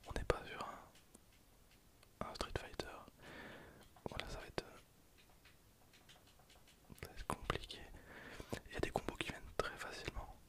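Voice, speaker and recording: A person whispering softly in a casual, hesitant way while commenting on their own gameplay for ASMR. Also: a little slow, long pauses. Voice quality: soft unvoiced whisper. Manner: casual, hesitant, thinking aloud while playing. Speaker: ASMR gaming video creator.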